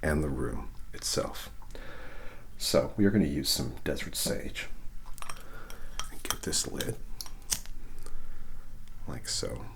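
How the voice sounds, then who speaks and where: A man softly guiding an ASMR reiki session, half-whispering slowly and checking in with "okay?". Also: very deep voice, animated, gravelly, a little hiss or hum. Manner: whispery, slow and soothing, frequent "okay?" check-ins. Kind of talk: soft-spoken ASMR guided session.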